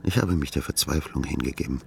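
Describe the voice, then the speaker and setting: A man's voice: rumbling low, fast, gravelly, darkish tone, slight background noise, full character voice. An actor playing a despairing, sleepless man in a bitter inner monologue for a literary radio drama. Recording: music behind the voice.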